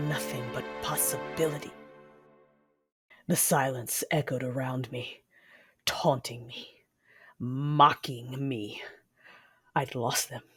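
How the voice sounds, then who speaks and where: A woman playing a grieving, vengeful Sith mother who narrates her story in the first person. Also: rumbling low.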